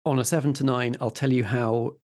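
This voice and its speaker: A man's voice: medium pitch, steady tone, fairly quick, darkish tone. A man narrating scenes and giving rulings as the game master of a tabletop role-playing game.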